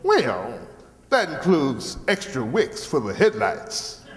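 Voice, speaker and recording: An actor playing a shameless, folksy used-car salesman in old-time radio comedy, with theatrical, drawn-out sales patter. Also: a little high, huge pitch swings, exaggerated voice. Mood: boastful pitching. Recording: echoey hall with long reverb.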